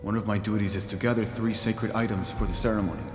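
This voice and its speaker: A dutiful rebel guardsman, voiced by a man, in a video game, formal and earnest in his requests. His low voice carries a long, roomy reverb. Game music sits clearly behind him. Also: steady tone, fast talker, close to an everyday voice. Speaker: rebel soldier at his post. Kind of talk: video game cutscene dialogue. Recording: echoey, reverberant game mix.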